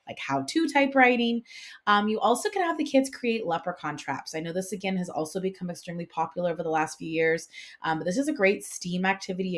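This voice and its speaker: A woman hosting an informal instructional vlog, explaining things conversationally like a classroom teacher. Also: lowish voice. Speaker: classroom teacher and video host. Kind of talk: casual instructional vlog talk about picture books.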